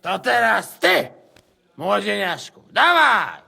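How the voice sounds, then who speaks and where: An actor playing a brash, foul-mouthed, hot-tempered but jovial Polish soldier in a video game. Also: male voice, high-pitched, huge pitch swings, slow pace, creaky, pushed and bright, exaggerated voice. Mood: drunken, exuberant. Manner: brash, exuberant. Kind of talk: video game cutscene acting.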